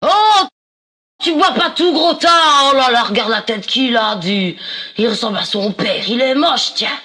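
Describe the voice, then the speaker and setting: A woman's voice: fairly high pitch, expressive, unhurried. A woman playing a brash, boozy schoolteacher in an amateur comic film dub, with an exaggerated, mocking delivery. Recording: loud music underneath.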